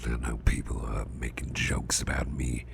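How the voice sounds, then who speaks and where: A man playing a big, anxious but caring businessman boss in an ASMR roleplay, murmuring haltingly in a breathy, gravelly near-whisper. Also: very deep voice, little pitch movement, full character voice. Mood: calming, gentle. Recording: clearly noisy background hiss.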